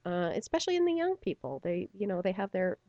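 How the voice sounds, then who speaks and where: A woman's voice: medium pitch, sing-song, fast, creaky, warm tone, no echo, highs cut off. A middle-aged woman, a stage actor, chatting animatedly in an interview, laughing often and using many fillers. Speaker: stage actor and theater company member. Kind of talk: radio interview, casual chat.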